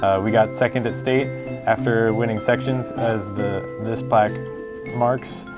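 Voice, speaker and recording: A man's voice: on the low side, fairly even intonation, a little slow, over loud music. A middle-aged man who coaches a school speech team, explaining at an easy pace with fillers. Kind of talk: interview answers.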